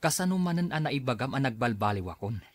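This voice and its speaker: A man's voice: medium pitch, lively intonation, quick pace, dry recording, clean studio sound, natural voice. A man acting in an Ilocano radio drama as an irritable, defensive husband, with an Ilocano Filipino accent. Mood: defensive, exasperated. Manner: exasperated, dismissive.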